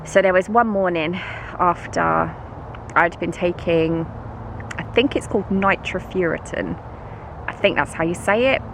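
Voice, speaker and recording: A woman's voice: fairly low pitch, unhurried, vocal fry. A British woman with a congested, bunged-up nose, walking through the woods as she chats to camera in a self-mocking way and laughs often. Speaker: vlogger and schoolteacher. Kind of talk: casual vlog chat while walking. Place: woods outdoors. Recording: noisy outdoor camera recording. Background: outdoor ambience, passing vehicles.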